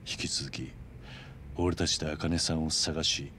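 An actor playing a stoic, gruff middle-aged ex-yakuza man in a video game, terse and understated with a dry kindness. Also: a bass voice, fairly even intonation, a little slow, sharp tone, a little hiss or hum, heavily acted. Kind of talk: Japanese video game dub acting.

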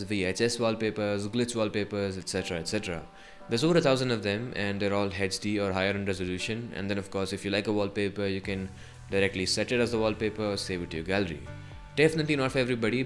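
A man with a fairly low voice narrates a scripted tech review. He speaks as a video presenter, with light music playing behind him. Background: background music.

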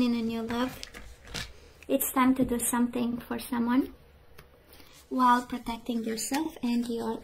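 A woman giving an intimate tarot reading monologue, halting, with phrases that trail into whispers. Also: a little high, creaky, slight background noise, poor audio. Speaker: tarot reader.

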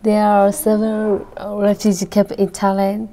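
A teenage girl from Burma reads her personal story as a high school student, in careful, simple phrasing. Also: monotone, moderate pace, dark tone. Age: late teens.

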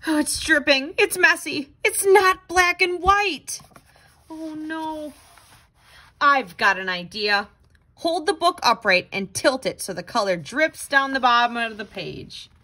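A woman reading a children's picture book aloud in an expressive, dramatic, exclaiming way. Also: sits high, measured pace. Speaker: storybook reader.

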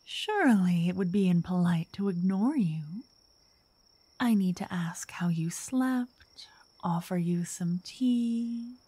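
A woman playing a slow, soft-spoken doting vampire writer. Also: lowish voice, animated, dry recording, heavily acted. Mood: teasing, affectionate. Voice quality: soft, breathy, often whispered. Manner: teasing, dreamy, old-fashioned wording. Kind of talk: soft ASMR roleplay. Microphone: close, dry microphone.

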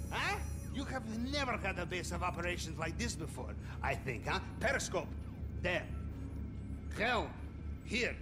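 An actor playing a boisterous, eccentric Russian submarine captain in a video game, rambling theatrically in clipped broken English with a Russian accent. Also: male voice, fairly high pitch, close to an everyday voice. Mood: showy, briskly instructing. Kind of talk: video game cutscene acting.

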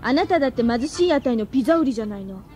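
Woman as plucky high-school girl, high-pitched, mellow timbre, radio-drama delivery, light music behind. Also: animated, rapid-fire, close to an everyday voice. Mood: cheeky. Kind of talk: Japanese radio drama acting.

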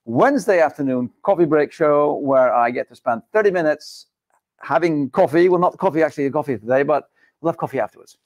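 A man's voice: fairly high pitch, animated, fairly quick, a little mellow, no echo. A middle-aged man hosting a live studio interview show, upbeat, chatty and joking with his guest. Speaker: podcast host. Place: studio set with dark backdrop.

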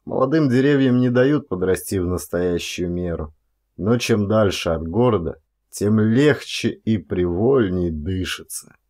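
A man reading literary prose as an audiobook narrator, with measured phrasing and drawn-out emphasis on certain words. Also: lowish voice, animated, darkish tone.

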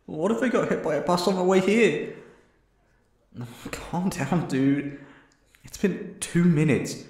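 Shy young first-date guy played by a man, higher-pitched, flustered and stammering, ASMR roleplay, echoey room sound. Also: lively intonation, measured pace, a little mellow. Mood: anxious self-talk. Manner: nervous, rambling, stammering. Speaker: voice actor.

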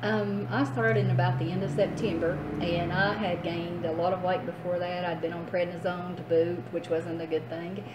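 A woman's voice: lowish voice, little pitch movement, moderate pace, few pauses. A woman in her fifties giving a casual personal account, recorded by a phone camera indoors. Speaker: guest. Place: indoors in a restaurant. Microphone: phone camera a short distance away. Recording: echoey, noisy room recording.

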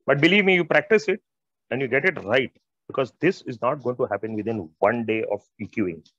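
A man's voice: medium pitch, a little fast, a bit bright, dry recording. Man, middle-aged, Indian accent, emphatic informal explainer, dry close sound, dull video-call audio. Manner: emphatic, repeats phrases, addresses listeners directly. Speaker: audio expert presenter. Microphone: wired earphones on a video call.

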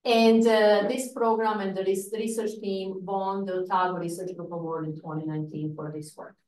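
A middle-aged woman from Croatia giving an academic seminar talk, lively, with frequent fillers. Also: mid-range voice, moderate pace. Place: seminar room. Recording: echoey room, speaker far from mic.

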